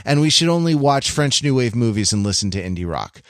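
A man talks as a podcast co-host in a fairly ordinary mid-range voice, recorded dry and close. He works through his ideas in a halting, thoughtful ramble, keeping the tone conversational. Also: medium pitch, big pitch swings, dry recording. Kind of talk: casual discussion of films.

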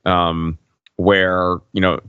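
A man with a low voice speaks as a panelist in a casual film discussion. The sound is dry, and he wanders through asides and caveats as he builds his point. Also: level tone, extremely slow, fairly bright tone, clean tone, no room sound, lo-fi sound. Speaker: podcast panelist.

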